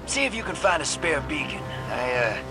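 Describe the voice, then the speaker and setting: An actor voicing a shady, streetwise rogue and survivor in a video game, with slangy, conspiratorial swagger. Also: male voice, a little high, sing-song, moderate pace, music in the background. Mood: brisk, conspiratorial. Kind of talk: video game character dialogue.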